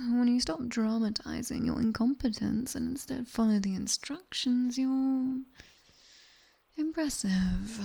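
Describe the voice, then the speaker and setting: Woman as a slow whispering British demon tutor. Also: fairly high pitch, full character voice. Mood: grudging approval. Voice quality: breathy, soft whisper. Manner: slow, measured, commanding. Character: sharp-tongued female nightmare demon tutor, strict, teasing. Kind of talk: whispered ASMR roleplay.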